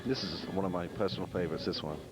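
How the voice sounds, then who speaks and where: A man's voice: deep voice, fast talker. An English man who sings and plays guitar in a band, laid-back and joking in an interview. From England. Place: noisy bar. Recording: loud bar music behind.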